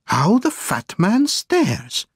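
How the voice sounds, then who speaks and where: An earnest, secretive Italian exile played by a male audiobook reader, anxious and dramatic. His pitch moves widely from phrase to phrase, and the sound is close and dry. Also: wildly swooping pitch, extremely slow, slightly rough, no room sound, exaggerated voice. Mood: puzzled, surprised. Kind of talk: audiobook character dialogue.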